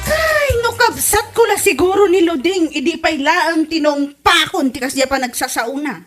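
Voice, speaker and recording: A woman's voice: very high-pitched, animated, moderate pace, a bit bright, soft background music. A woman playing a hard-up, complaining villager in an Ilocano comedy drama, whiny and exasperated, with an Ilocano accent. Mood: grumbling.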